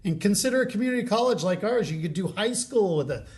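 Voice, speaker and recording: A man's voice: high voice, expressive, fast, darkish tone. A man hosting a college podcast, chatting in a friendly, upbeat way with a guest. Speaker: podcast host. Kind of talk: casual interview chat.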